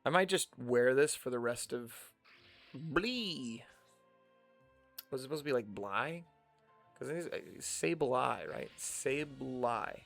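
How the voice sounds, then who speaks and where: A man's voice: mid-range voice, lively intonation, slow, vocal fry, pauses often, fairly bright tone, faint music behind. A young man with a General American accent, rambling casually to himself while playing a video game. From United States. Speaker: streamer. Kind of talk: casual gameplay commentary.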